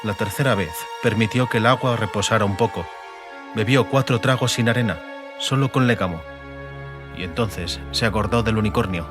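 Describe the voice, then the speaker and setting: A man with a fairly low voice and an accent from Spain narrates a fantasy audiobook. His delivery is dramatic, scripted storytelling, with music clearly playing behind him. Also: normal speed.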